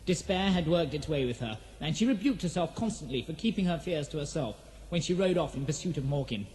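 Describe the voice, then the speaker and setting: A man's voice: on the high side, darkish tone, a little hiss or hum, rough recording. A man narrating a fantasy audio drama in a solemn, theatrical storytelling manner.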